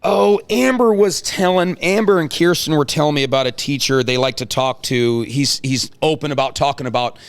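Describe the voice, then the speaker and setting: A man's voice: a little high, normal speed, few pauses, smooth. A man hosting a casual talk show, chatting in a folksy way and prodding guests with questions. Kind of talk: casual chat, interviewing guests.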